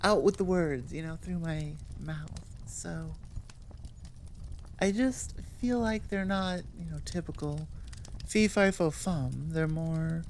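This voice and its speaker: A woman audio roleplay creator chatting informally to her listeners in an animated, rambling way. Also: on the low side, creaky, slight background noise. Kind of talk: casual chat outro to listeners.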